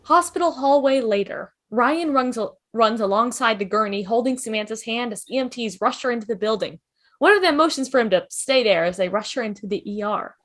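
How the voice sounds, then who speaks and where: A woman's voice: fairly high pitch, animated, a little fast. A woman reading stage directions as scripted narration in a fan table read.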